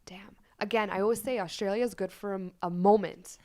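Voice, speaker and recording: A woman's voice: mid-range voice, fairly even intonation, a little fast, darkish tone. A woman whose first language is Polish, co-hosting a sports podcast and giving blunt, outspoken opinions in casual chat. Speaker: co-host.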